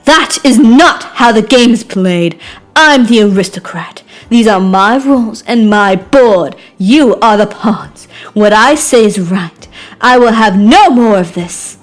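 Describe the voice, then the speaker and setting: A woman's voice: fairly high pitch, animated, slow, faint music behind. A woman voicing a haughty, theatrical aristocratic lady who peppers speech with French endearments, for an amateur game. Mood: imperious anger. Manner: imperious, grandiose.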